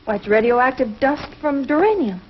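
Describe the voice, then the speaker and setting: A woman playing an earnest, precise space crew scientist in 1950s television adventure style, with crisp delivery. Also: sits high, lively intonation, moderate pace. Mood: serious, puzzled. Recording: dull, noisy 1950s TV film soundtrack.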